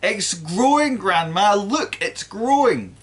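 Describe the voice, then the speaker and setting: A woman voicing an eager little boy who shouts in excitement. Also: medium pitch, takes it slowly, voice pushed up. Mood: excited.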